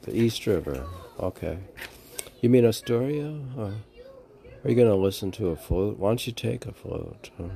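A man's voice: deep voice, vocal fry, warm tone. A man giving casual, rambling commentary and poetry readings in a musing way, often asking and answering his own questions.

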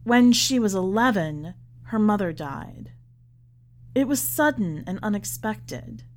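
A woman reading literary fiction aloud as scripted narration, with measured, deliberate phrasing. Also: mid-range voice, expressive. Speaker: podcast host reading a short story.

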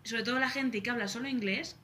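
A woman's voice: a little high, fast, pushed and bright, a little hiss or hum. A woman hosting a podcast, chatting casually and thinking aloud with friendly interjections. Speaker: podcast host. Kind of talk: casual chat.

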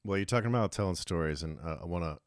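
A male host with a very low voice asks his guest questions in a measured, thoughtful way. The recording is dry and close. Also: dry recording. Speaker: podcast host. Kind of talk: interview questions.

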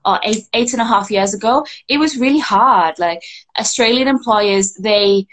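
A young adult woman, chatting casually as an employment coach, full of fillers and quick restarts. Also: mid-range voice, a little hiss or hum. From Scotland. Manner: chatty, frequent fillers and restarts, laughs easily. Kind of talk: casual podcast chat.

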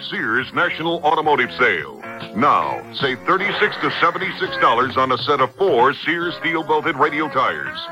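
A man's voice: on the high side, sing-song, moderate pace, dull audio. A man reading a scripted retail advertisement as a radio announcer. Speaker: commercial announcer. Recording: radio ad with music bed.

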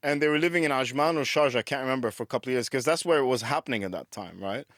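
A man's voice: mid-range voice, rapid-fire, fairly bright tone, no room sound. Middle-aged guy from dubai, musician, rambles on and laughs at himself, dry close mic. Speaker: guest musician. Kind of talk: casual rambling chat.

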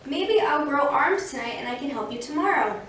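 A woman's voice: sits high, animated, normal speed, a bit bright, recorded from a distance, slight background noise. Woman reading a cheeky procrastinating snake, echoey. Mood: breezy. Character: cheeky, procrastinating male rattlesnake in a storybook.

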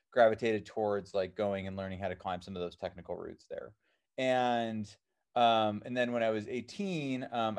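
A man's voice: on the low side, darkish tone. Casting: American man, 30s, mountaineer guest, loose and rambling, plenty of "um" and "you know". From born in New Zealand, raised in Seattle. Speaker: alpine climber and filmmaker, guest. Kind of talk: casual interview answers.

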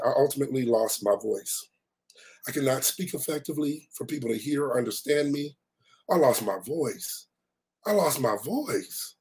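Hoarse raspy-voiced man, motivational host. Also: fairly high pitch, dark tone. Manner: emphatic, repeats key phrases for effect. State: hoarse, recovering from losing his voice. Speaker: podcast host and life coach. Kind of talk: motivational podcast monologue, reading his own blog.

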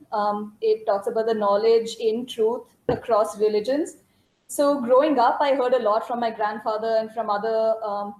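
A woman's voice: a little high, darkish tone. A woman from India, a panelist, explaining her upbringing in a thoughtful, explanatory way. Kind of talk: casual panel discussion. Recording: echoey room.

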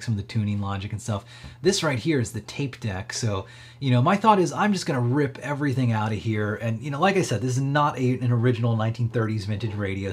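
A man with a slightly husky voice hosting a casual live tech demo, thinking aloud in a rambling, conversational way. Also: fairly low pitch. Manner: conversational, rambling, frequent hedging asides. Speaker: livestream host demonstrating electronics projects.

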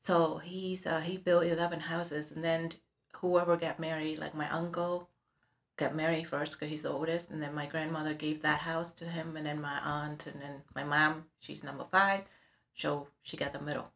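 A middle-aged woman with a Vietnamese accent telling her life story in an interview, halting with many fillers and restarts, recorded in an office with background noise. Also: low-pitched, level tone, fairly bright tone. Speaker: interviewee, realtor. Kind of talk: personal life story in conversation.

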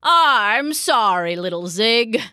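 A woman voicing a grumpy but soft-hearted old man with bad teeth in a children's story, grumbling and drawing out the words. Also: a little high, animated, dry recording, studio quality, exaggerated voice. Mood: apologetic, tender. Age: older. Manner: grumbling, slow, drawn-out delivery. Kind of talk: acted children's storybook character.

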